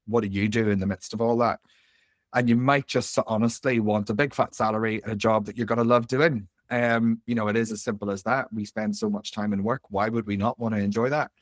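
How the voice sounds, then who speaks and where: Northern Irish man, late thirties, career coach on a video call, low voice, dry close sound, chatty with plenty of ums. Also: no room sound. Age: 30s. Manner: chatty and informal, many fillers, reads out chat replies. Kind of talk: live webinar presentation. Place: home room.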